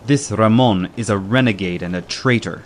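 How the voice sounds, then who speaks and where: Guy as polite but firm old-california gentleman, lowish voice, measured, audiobook drama. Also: slight background noise, close to an everyday voice. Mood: calm defiance. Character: courteous, firm gentleman caballero, calmly defiant.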